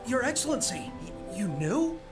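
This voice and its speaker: Young female soldier: animated, earnest, deferential, mid-range voice, anime game role over music. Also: a little high, moderate pace. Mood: pleading, fervent. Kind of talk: anime video game voice acting.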